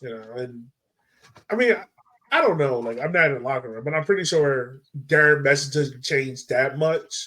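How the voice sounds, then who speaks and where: A man's voice: medium pitch, animated, moderate pace, pushed and bright, rough recording. A man hosting a casual soccer podcast, chatting about the game and putting questions to his fellow panelists. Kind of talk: casual sports chat.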